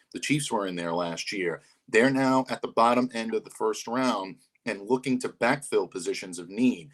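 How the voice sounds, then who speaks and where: A man co-hosting a sports radio show who gives measured analysis and hesitates often. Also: lowish voice, fairly bright tone, poor audio. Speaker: radio co-host.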